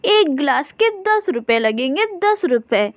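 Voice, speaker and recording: A woman's voice: high-pitched, sing-song, measured pace, dry recording. A woman voicing a boastful, nagging female crow, a quarrelsome cartoon wife who complains a lot. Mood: boastful, threatening.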